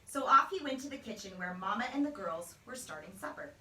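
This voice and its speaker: A woman's voice: medium pitch, animated, fairly quick, sharp tone. A woman, a teacher, narrating a storybook in a children's read-aloud style. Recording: roomy, distant microphone.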